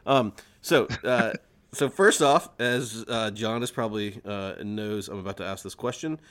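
A man's voice: fairly low pitch, measured pace, a bit bright. A man hosting a podcast, chatting casually over drinks with his co-hosts. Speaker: podcast host.

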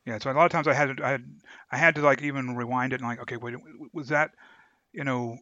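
A man co-hosting a podcast, chatting casually and haltingly as he searches for words. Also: mid-range voice, fairly even intonation, pushed and bright, dry recording, lo-fi sound. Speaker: podcast co-host. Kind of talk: casual chat reviewing an audio drama.

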